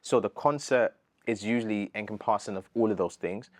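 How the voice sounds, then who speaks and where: British guy, 30, low voice, talks fast and earnest, lots of "bro", dead dry mic. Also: no echo. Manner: earnest, animated, slangy, says bro a lot. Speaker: gospel artist, interview guest. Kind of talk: casual interview chat.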